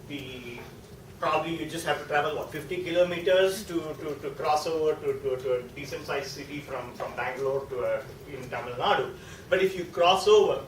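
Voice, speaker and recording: A South Indian man gives an informal lecture in a conversational, explanatory way, often adding a short tag question. His voice sits a little high for a man and carries a South Indian accent. He is recorded at some distance from the microphone in an echoey room, with faint noise behind him. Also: fairly bright tone. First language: a South Indian language. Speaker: guest lecturer.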